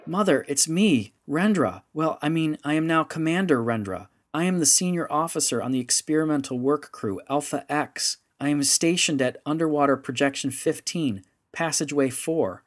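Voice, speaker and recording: A man's voice: fairly high pitch, lively intonation, fairly quick, hardly any pauses, a bit bright, no room sound, clean studio sound, pitched above the performer's own voice. A man voicing a desperate female starship commander, pleading with rising urgency.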